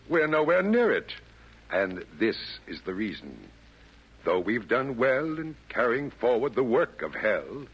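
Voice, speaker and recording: Casting: a grand, pompous Satan for vintage radio drama, husky, big swings of pitch, rhymed speechifying. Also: male voice, fairly bright tone, highs cut off, heavily acted. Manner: theatrical, oratorical, rhymed delivery. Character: pompous male Devil chairing a meeting of demons. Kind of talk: 1940s radio acting. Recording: old 1940s radio broadcast.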